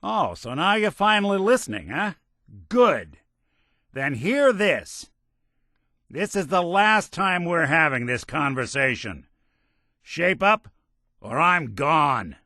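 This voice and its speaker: A man with an American accent playing a gruff, sardonic synthetic detective in a video game, with wry, hard-boiled, deliberate phrasing. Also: a little high, big pitch swings, pushed and bright, no echo, clean studio sound, full character voice. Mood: angry, stern. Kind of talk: film-noir detective game voice acting.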